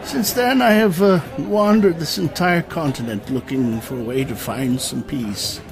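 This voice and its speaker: A man's voice: a little high, a little slow, a crowd in the background. A man playing a courteous, weary, eccentric old knight in his seventies, speaking formally and hesitantly in a comic fantasy audio drama. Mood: doddering, wistful. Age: 70s in character. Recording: music behind the voice.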